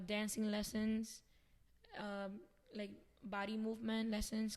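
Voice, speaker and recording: Flat-voiced woman rambling on. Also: medium pitch, vocal fry. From Venezuela. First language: Spanish. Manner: rambling, chatty, thinks aloud as she talks. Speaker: podcast guest. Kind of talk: casual interview chat.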